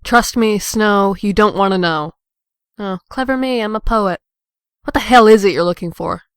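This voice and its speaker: A woman's voice: mid-range voice, normal speed, smooth, dry recording, clean studio sound, lightly acted. A woman playing a defiant, bitter young punk in a comic dub, biting and sarcastic. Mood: sarcastic, scornful.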